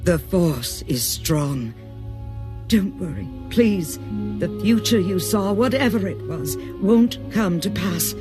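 A woman's voice: on the low side, expressive, slow, over music. An actress playing a secretive, reassuring female Jedi master in an English-language audio drama, speaking in an earnest way. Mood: gentle, reassuring.

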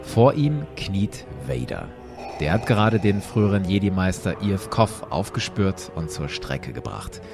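Very deep-voiced, expressive male storyteller. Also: unhurried, creaky, over music. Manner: expressive, addresses listeners directly. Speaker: host and storyteller. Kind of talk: dramatic storytelling narration.

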